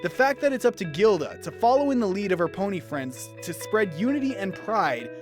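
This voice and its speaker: A man giving an enthusiastic, animated scripted review as a cartoon episode commentator, with instrumental music behind him. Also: sits high, a little fast. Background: instrumental background music.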